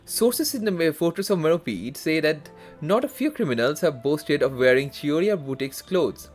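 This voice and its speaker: Male game streamer: lighter-pitched, expressive read-aloud, halting with casual asides, soft music underneath. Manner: halting, stumbles and corrects himself while reading. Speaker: live streamer. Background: faint game music.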